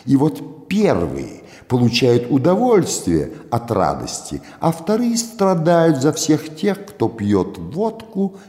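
A proud Odessa gangster, put on by an older man, delivers a rhetorical graveside speech in a dark, mellow tone. He speaks slowly and lets each phrase rise and fall. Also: on the high side, roomy sound, big-room sound, pitched above the performer's own voice, exaggerated voice. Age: 60s. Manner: rhetorical, declamatory oratory.

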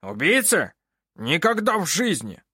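A man's voice: a little high, huge pitch swings, a little slow, pushed and bright, slightly rough, no echo, studio quality, pitched above the performer's own voice, heavily acted. A man voicing a haughty, furious older male judge in an audiobook, barking and blustering. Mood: raging.

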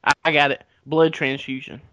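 A man co-hosting a podcast, trading dry deadpan jokes in casual banter. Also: on the high side, normal speed, no echo, muffled recording. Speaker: podcast co-host. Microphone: podcast mic.

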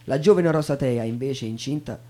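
A man giving a theatrical dramatic reading as a stage narrator, with solemn, measured phrasing. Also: medium pitch, warm tone. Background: acoustic guitar music.

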